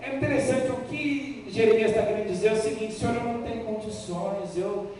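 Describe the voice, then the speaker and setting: A Brazilian man preaches in a big, heavily echoing hall, picked up from some distance. His voice is fairly high and mellow. He speaks emphatically, building through repeated phrases and pausing for effect. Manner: emphatic, repetitive phrasing with dramatic pauses. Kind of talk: sermon with Bible reading. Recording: echoey hall, distant mic, faint noise.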